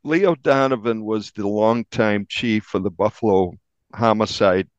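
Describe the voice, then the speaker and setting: A man speaking as a journalist in an interview, giving a measured account of a case. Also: fairly low pitch, smooth, dry recording, muffled recording. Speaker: investigative journalist being interviewed.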